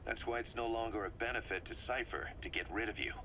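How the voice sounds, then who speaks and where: Game role: fervent mercenary deputy. Low, hard-edged, persuasive delivery, slight background noise. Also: male voice, fast talker, pushed and bright. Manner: persuasive, emphatic, speechifying. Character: fervent, embittered male mercenary second-in-command briefing his boss. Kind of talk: video game dramatic dialogue. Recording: noisy cassette briefing with background rumble.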